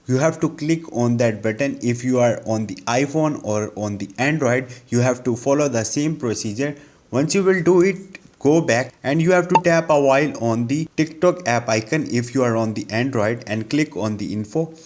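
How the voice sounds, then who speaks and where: A man's voice: medium pitch, moderate pace, a little mellow, a little hiss or hum. A man hosting an instructional tutorial, giving simple step-by-step directions in non-native English phrasing. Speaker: tutorial host. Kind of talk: instructional screen tutorial narration.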